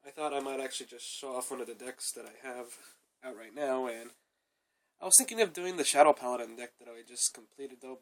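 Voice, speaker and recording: A young man talks through his card deck in a loose, rambling way, tacking "though" and "uh" onto most sentences. He has a cold, which makes his voice sound a bit off. His pitch sits in the middle for a man. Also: low-quality recording. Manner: rambling, repeated fillers like "though" and "uh". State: sick with a cold, coughing. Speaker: card game reviewer. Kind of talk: casual deck review.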